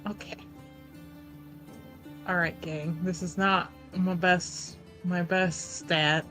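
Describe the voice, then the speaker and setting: Woman playing a tabletop rpg, bright forward voice, takes her time, thinks out loud, casual. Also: fairly low pitch, flat delivery, takes it slowly, faint music behind. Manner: informal, mildly sweary, thinking aloud. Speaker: player in a tabletop roleplaying game. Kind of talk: casual tabletop game chat.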